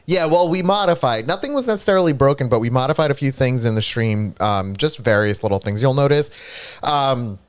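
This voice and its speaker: A male streamer with a congested, stuffy nose chats casually with his audience. His tone is smooth and resonant. The sound is dry and close. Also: mid-range voice, fairly quick, no echo. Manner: chatty, reads viewer comments aloud, animated asides. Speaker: streamer co-host. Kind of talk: casual live-stream chat.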